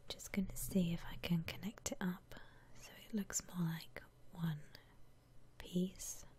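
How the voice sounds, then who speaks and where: A woman whispering softly and breathily in a gentle, musing way as she gives ASMR commentary on a game. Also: on the low side, steady tone. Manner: gentle, unhurried, musing aloud. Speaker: ASMR streamer playing a house-decorating game.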